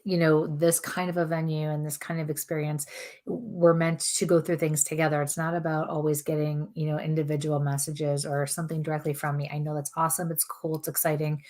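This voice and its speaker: A woman medium giving spirit messages on a live show in a calm, earnest way, her throat strained as she loses her voice. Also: deep voice, a little fast. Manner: calm, earnest, relays messages in repeated "I feel like" phrasing. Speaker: psychic medium and co-host. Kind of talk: live chat-room spirit readings.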